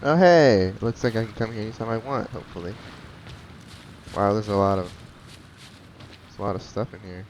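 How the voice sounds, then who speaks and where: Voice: mid-range voice, moderate pace, pauses often, a little mellow, a little hiss or hum, poor audio. A streamer giving rambling commentary while playing a video game, talking to themselves. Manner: rambling, talks to self. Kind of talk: gameplay commentary.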